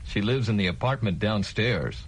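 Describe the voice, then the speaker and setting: A man's voice: fairly low pitch, lively intonation, normal speed, breathy edge, rough recording. A man voicing an adult neighbor who introduces others in a scripted language course dialogue, with explanatory textbook delivery. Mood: friendly.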